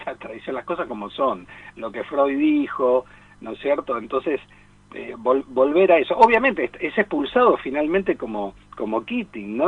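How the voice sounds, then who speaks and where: A man's voice: medium pitch, slightly rough, dry recording, phone-quality sound. A man with an Argentine Spanish accent giving conversational, digressive film commentary on the radio, often stopping to seek agreement. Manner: digressive, halting, often seeking agreement with tag questions. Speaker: radio show team member and film columnist.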